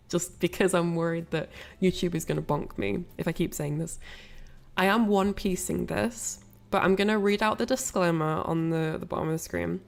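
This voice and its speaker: A woman's voice: on the low side, creaky. A woman hosting a casual livestream, rambling and apologetic, sniffly with a cold as she chats to viewers. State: ill with a cold, sniffly, coughing. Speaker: livestream host.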